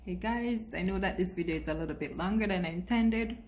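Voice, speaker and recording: A woman's voice: medium pitch, quick pace, reverberant, over music. A young Jamaican woman with a Jamaican accent, talking to camera in an earnest, encouraging way that repeats phrases for emphasis. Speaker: vlogger. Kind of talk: casual talk to camera.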